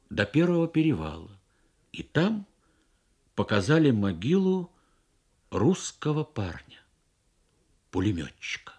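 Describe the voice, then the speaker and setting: A man reading literary prose as a dramatic radio narration, in measured, deliberate phrases with pauses. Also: medium pitch, a little mellow, breathy edge. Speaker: reader of a short story on radio.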